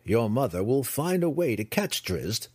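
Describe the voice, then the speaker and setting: A man voicing a shrewd, composed dark elf noblewoman in a fantasy audiobook, measured, formal and knowing. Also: medium pitch, sing-song, breathy edge, dry recording.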